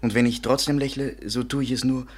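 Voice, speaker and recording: A male actor plays a melodramatic French waiter whose heart is broken. The voice is husky and sits at a middle pitch. He speaks in the mournful, self-pitying, theatrical manner of 1950s German radio drama. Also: steady tone, brisk, close to an everyday voice. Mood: mournful, despairing. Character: lovesick, melodramatic French waiter and hobby painter, a man.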